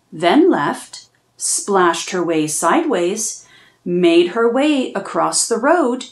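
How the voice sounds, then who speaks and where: A woman reads a children's book aloud at an unhurried pace. Her pitch rises and falls a great deal, so every line sounds animated. Her delivery is steady, clear storytelling. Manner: slow, expressive storytelling.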